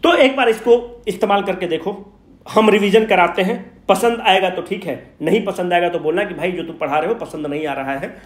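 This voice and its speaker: A man's voice: sits high, a little fast. A man lecturing exam students informally, asking questions and answering them himself, switching into English at times. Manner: informal, addresses students directly; switches between Hindi and English. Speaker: exam coaching teacher. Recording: echoey room.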